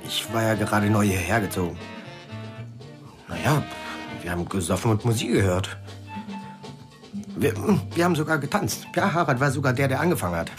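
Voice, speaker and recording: An easygoing neighbour played by an actor in a naturalistic radio drama. The talk is casual and a little halting, as memories come back, with music clearly playing behind. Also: mid-range voice, lively intonation, lightly acted. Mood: reminiscing. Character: easygoing, chatty neighbour recalling a party.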